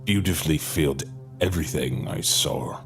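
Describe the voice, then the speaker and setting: A man's voice: extremely low pitch, moderate pace, creaky, over music. A man acting in an audio drama as an effusive, theatrical long-lost brother, with flamboyant, wordy, old-fashioned phrasing. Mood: grand, wistful.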